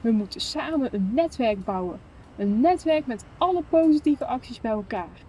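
A woman acting an idealistic young sister in a radio play, chatting earnestly in a natural way. Her voice is high and soft-edged, with faint ambient noise behind it. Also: animated, normal speed, close to an everyday voice, poor audio. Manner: conversational, earnest.

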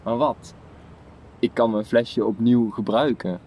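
A man's voice: mid-range voice, measured pace, darkish tone, lightly acted. A young man playing an easygoing, slightly puzzled brother in naturalistic radio play dialogue, casual and questioning. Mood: doubtful, curious. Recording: faint station ambience noise.

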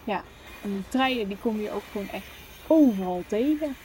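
A woman's voice: mid-range voice, expressive, moderate pace, warm tone, close to an everyday voice. A young woman playing an idealistic, chatty sister in naturalistic, conversational radio play dialogue. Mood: teasing, sure. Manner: conversational, earnest. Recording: faint station ambience noise.

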